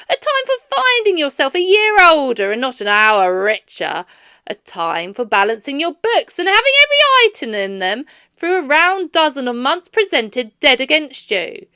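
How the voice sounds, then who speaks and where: A woman voicing a miserly, bitter old man who barks his scorn in loud, indignant outbursts. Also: low voice, a little slow, gravelly, few pauses, dry recording, studio quality, voice pushed down, heavily acted.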